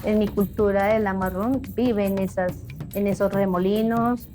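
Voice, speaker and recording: A woman's voice: medium pitch, fairly even intonation, mellow, faint music behind. Thoughtful woman speaking a second language. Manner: measured, thoughtful, pauses to find words. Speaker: interviewee. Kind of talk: reflective interview answers.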